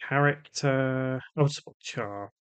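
A man presenting a casual step-by-step software tutorial, explaining and thinking aloud as he works. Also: medium pitch, takes it slowly, smooth. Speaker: tutorial host.

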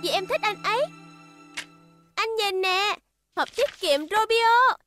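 A cheerful little girl in a cartoon dub, with a very high, piping voice. She is gushing and eager, a starstruck kid sister, with faint music underneath. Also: animated, slow, sharp tone, exaggerated voice.

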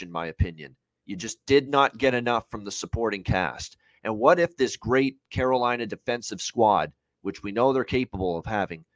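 Man, 30s, opinionated betting analyst, lowish pitch, casual talk, dry room-free sound. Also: no echo, low-quality recording. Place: indoor room on a video call.

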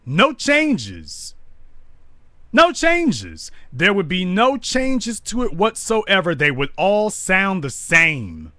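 A man hosts a call-in talk show, taking callers and lecturing them bluntly. His voice is bright and forceful. The sound is dry and close, with no room around it. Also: sits high, wildly swooping pitch, slow pace, no room sound.

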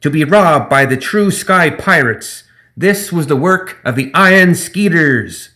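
A man's voice: on the high side, lively intonation, a little slow, pushed and bright, voice pushed up. A man voicing Captain Zip, a pompous male gremlin sky pirate who makes grandiose, self-important proclamations. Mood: boastful.

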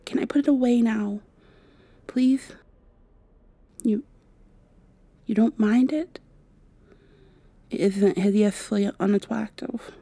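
Woman acting a soft, mellow, insecure vampire girl. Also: mid-range voice, gravelly, slightly rough, no room sound, exaggerated voice. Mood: ashamed, pleading. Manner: hesitant, soft-spoken, confiding. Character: shy, insecure half-mosquito vampire girl with hidden wings. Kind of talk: ASMR roleplay.

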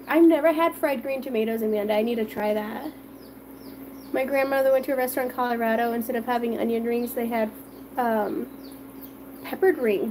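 A woman's voice: high voice, noisy background. A woman chatting with viewers and reading their comments while she fries food in her home kitchen. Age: adult with children. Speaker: home cooking livestream host. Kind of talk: casual live cooking chat with viewers.

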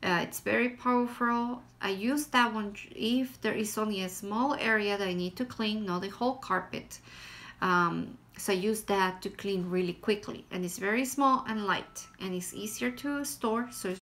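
A woman's voice: mid-range voice, moderate pace, fairly bright tone. A woman in her thirties from Guatemala with a Guatemalan Spanish accent, giving a casual product review at home, plain and hesitant with frequent fillers. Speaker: vlogger. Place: small room at home, indoors.

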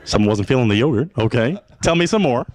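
Young guy hosting a dating game show, lively bouncy voice, kinda teasing, handheld mic. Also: medium pitch, background noise. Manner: showy, teasing, drawn-out delivery.

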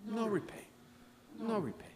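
A man's voice: high voice, expressive, moderate pace, crowd noise. A middle-aged man preaching a conversational sermon to a congregation, telling stories and asking them questions, picked up by a distant camera. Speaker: pastor. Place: church sanctuary, before a congregation. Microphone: far camera. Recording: echoey church from a distant camera.

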